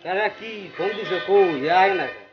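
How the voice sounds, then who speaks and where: A man's voice: sits high, moderate pace, lightly acted. A man with a Bangladeshi accent playing a brash young student in a web drama. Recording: echoey, dull sound with music.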